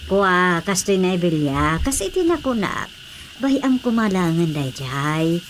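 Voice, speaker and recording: An actress with an Ilocano accent playing an older, gossipy village aunt who fusses and placates in a radio drama comedy. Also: female voice, fairly low pitch, big pitch swings, unhurried, slight background noise. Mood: exasperated, advising. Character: Nana Suping, older gossipy village aunt, exasperated peacemaker.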